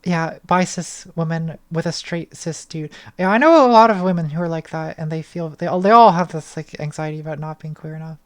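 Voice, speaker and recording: A young woman answering patron questions on a casual livestream from her bedroom, thoughtful and hesitant, with a slightly hoarse voice, speaking into a studio mic. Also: low-pitched, a little fast, few pauses. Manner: thoughtful, hesitant, many restarts and ums. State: voice getting hoarse. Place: small bedroom lit purple. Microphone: studio mic on arm, front of frame.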